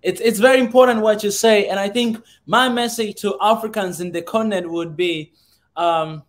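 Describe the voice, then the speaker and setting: A man's voice: high-pitched, normal speed. A Tanzanian man whose first language is Swahili, hosting an open conversation in an earnest way and repeating words as he thinks. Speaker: host. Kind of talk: casual conversation.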